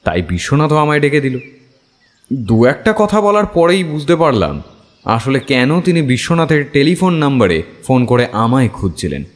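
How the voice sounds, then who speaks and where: A man playing a detective's wry, chatty companion who narrates the case as an expressive first-person story. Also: medium pitch, normal speed, in a large hall, close to an everyday voice. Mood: wry, reflective.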